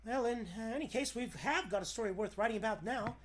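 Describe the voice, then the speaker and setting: A man's voice: very high voice, quick pace, slight background noise. A man playing a mild, timid, stammering newspaper reporter in 1940s radio serial style. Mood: mild, sheepish. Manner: stammering, hesitant.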